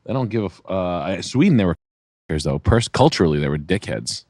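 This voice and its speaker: Male podcast co-host, fairly low voice, loose joking chat, tight dry sound. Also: big pitch swings, brisk, a little mellow, no room sound. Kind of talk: casual comedy chat.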